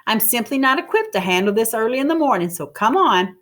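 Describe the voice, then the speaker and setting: A woman's voice: fairly high pitch, big pitch swings, brisk, fairly bright tone. A woman reading a storybook, voicing a spirited young female tutor with exclaiming, emphatic delivery. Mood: exasperated.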